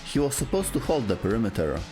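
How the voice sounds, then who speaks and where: A man's voice: medium pitch, very animated, fast talker, music in the background. A man narrating a scripted story as a dramatic storyteller, in short clipped phrases.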